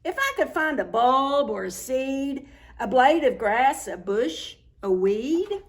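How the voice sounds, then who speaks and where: A woman's voice: high voice, sing-song, takes it slowly, heavily acted. A woman playing an earnest, apologetic female dinosaur librarian from a picture book.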